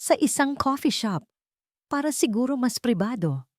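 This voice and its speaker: A woman's voice: on the high side, animated, moderate pace, no room sound, clean studio sound, voice pushed up. A woman voicing an anxious, guilty younger sister in an audiobook family drama, worried and over-eager. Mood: nervous, evasive. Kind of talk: audiobook character dialogue.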